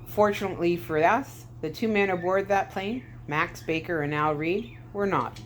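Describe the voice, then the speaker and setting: A woman voicing a gloating, talkative male Soviet KGB skipper in a storybook read-aloud, smug, deliberate and theatrical. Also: lowish voice, a little hiss or hum, lightly acted. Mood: smug explaining.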